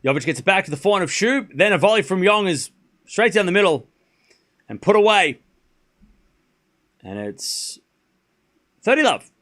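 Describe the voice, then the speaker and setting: A man with a light Australian accent calling tennis on a live stream, getting excited on rallies and muttering between points while answering chat. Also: sits high, normal speed, pauses often. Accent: Australian, softened. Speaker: streamer commentator. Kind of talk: live play-by-play commentary with chat.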